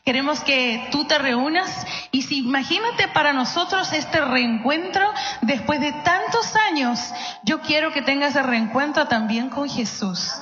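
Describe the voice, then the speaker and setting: A woman's voice: high-pitched, unhurried, sharp tone. A woman greeting and exhorting a church congregation with earnest enthusiasm. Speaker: singer in a gospel vocal group. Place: church hall, amplified to a congregation. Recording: echoey hall, slightly muffled.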